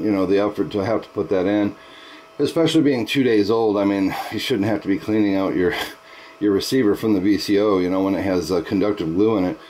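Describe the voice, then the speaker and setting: A man's voice: lowish voice, a little mellow, a little hiss or hum, poor audio. A man talking casually as an amateur radio operator, explaining things in a chatty way and using radio procedure words. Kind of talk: casual ham radio conversation.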